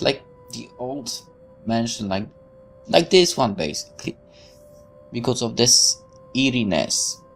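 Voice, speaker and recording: A man hosting a let's play, expressively reading the game's text aloud with stumbles and asides, over music. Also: mid-range voice. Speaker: gaming video host reading the game text aloud. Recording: music playing behind.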